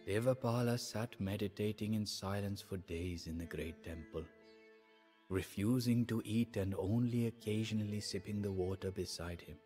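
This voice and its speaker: An actor playing a sorrowful, devout adviser to an Indian emperor, narrating the story in a solemn, measured, theatrical way for a game. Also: male voice, lowish voice, warm tone, faint music behind, natural voice. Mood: sorrowful, hushed. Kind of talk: dramatic scripted storytelling narration.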